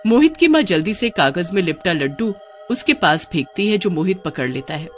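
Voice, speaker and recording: A woman's voice: lowish voice, big pitch swings, a little fast, faint music behind. A woman storyteller giving dramatic scripted narration of a cartoon horror story.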